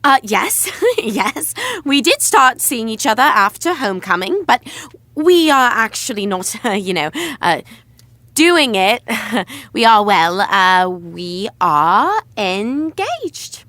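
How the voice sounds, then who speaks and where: An uptight, self-righteous young woman in an audio romcom. Her voice is bright and sharp, taken slowly with big swoops of pitch. The politeness sounds strained and dryly sarcastic. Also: big pitch swings, no echo, heavily acted. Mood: nervous, strained. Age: young adult. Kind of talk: audio drama romantic comedy acting.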